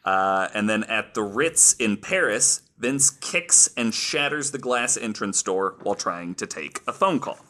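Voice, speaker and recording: A man's voice: lowish voice, sharp tone. A man hosting a casual podcast, telling stories from his notes in an informal, amused, sweary way. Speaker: podcast host.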